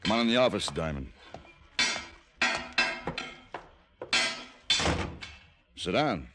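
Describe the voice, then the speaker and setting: A man's voice: low voice, big pitch swings, quick pace, heavy background noise. A man playing a guarded, sardonic ex-crook who runs a garage, brusque and wary, in an old radio detective drama. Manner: wary, brusque, dryly sarcastic. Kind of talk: 1940s-50s radio detective acting.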